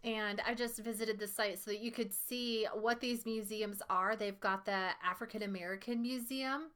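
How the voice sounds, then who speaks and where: A woman's voice: medium pitch, fairly even intonation, normal speed, fairly bright tone, faint music behind. A woman teacher reading lesson material aloud and explaining it, with casual asides. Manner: instructional, explanatory.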